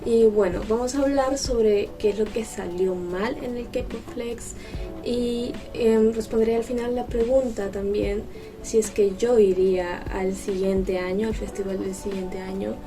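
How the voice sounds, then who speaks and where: A woman's voice: medium pitch, fairly even intonation, unhurried. A woman vlogger chatting casually to camera, explaining things in a conversational way. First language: Spanish. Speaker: vlogger, host. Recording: distant mic with music behind.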